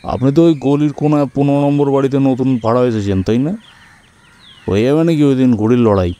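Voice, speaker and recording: A man's voice: mid-range voice, a little fast, warm tone, lightly acted. A man voicing a brash middle-aged scrap dealer and gambler in a radio story, cocky and challenging. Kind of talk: radio audio-story acting.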